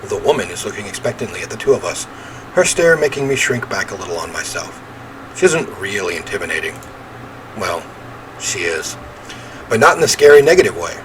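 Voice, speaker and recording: A man with a fairly low, very lively voice reads story narration from a game out loud. He is expressive and slips into casual commentary. There is clear background noise behind him. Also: brisk, low-quality recording. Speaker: video game let's player. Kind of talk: reading visual novel narration aloud with casual commentary.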